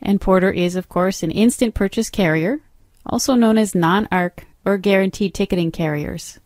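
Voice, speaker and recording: Woman doing a software training voiceover, step by step instructions, average pitch, dry close recording. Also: moderate pace, dry recording. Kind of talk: instructional screen demo narration.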